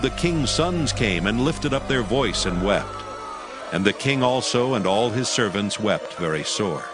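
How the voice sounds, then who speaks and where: A man giving a solemn scripted narration of scripture in archaic English. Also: on the low side. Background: faint music.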